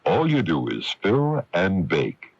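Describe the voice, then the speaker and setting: An actor playing a reassuring announcer with a gravelly voice in a radio commercial. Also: male voice, deep voice, huge pitch swings, slow, noisy background, full character voice. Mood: assured. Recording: dull lo-fi radio broadcast.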